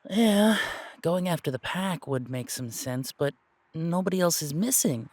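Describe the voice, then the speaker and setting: A man acting an earnest werewolf pack member in an audio drama, reasoning aloud in an emphatic, halting, explanatory way. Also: fairly high pitch, expressive, a little mellow, no room sound. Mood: doubtful. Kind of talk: dramatic audio roleplay dialogue.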